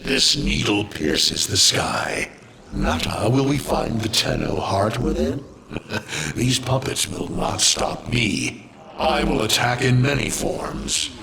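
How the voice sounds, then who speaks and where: An ancient, menacing alien villain for a video game. He speaks slowly and grandiosely in a forceful, gravelly voice that swells and dips. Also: mid-range voice, very animated, a little hiss or hum, exaggerated voice. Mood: ominous threat. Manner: ominous, grandiose, deliberate. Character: ancient, menacing, vengeful male alien machine overlord.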